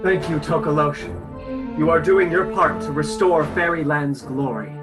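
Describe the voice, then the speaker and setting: A man playing a stubborn, pompous defender of old fairy tales in a radio play, indignant and raspy. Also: on the high side, normal speed, music in the background, natural voice. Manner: pompous, exasperated.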